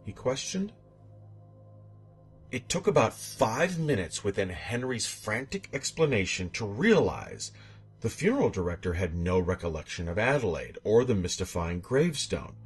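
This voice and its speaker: Low, husky, expressive male horror narrator. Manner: theatrical, suspenseful delivery. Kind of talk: scripted dramatic narration of a horror tale.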